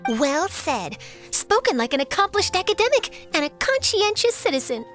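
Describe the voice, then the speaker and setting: A woman voicing a perky, confident young female journalist with an eager, glib manner in an animated game. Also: extremely high pitch, normal speed, soft background music, full character voice. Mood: approving.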